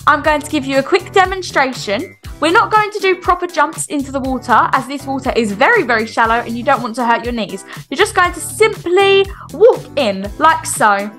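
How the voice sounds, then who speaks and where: A woman playing a brisk, encouraging but strict children's swimming coach in an online game roleplay, giving instructions and repeated reassurance. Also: high-pitched, lively intonation, a bit bright. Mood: instructive. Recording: music behind the voice.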